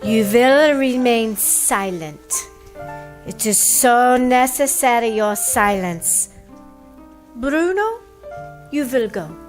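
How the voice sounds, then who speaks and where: An actress playing an ominous, imperious fortune-teller in an old radio drama, solemn and portentous, with measured pauses. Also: female voice, on the high side, expressive, soft background music. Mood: commanding.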